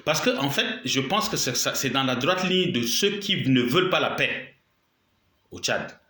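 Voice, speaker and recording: A man's voice: medium pitch, animated, unhurried, bright, forceful tone, roomy sound. A man with a cold and a sore throat, giving an indignant video statement and repeating his points for emphasis. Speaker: political commentator.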